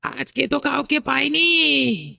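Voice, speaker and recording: A whiny young village boy, voiced by a woman, timid and afraid. The voice is high, very creaky and sharp, pitched for a horror cartoon. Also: very animated, slow pace, no echo, studio quality, full character voice. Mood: fearful, whining.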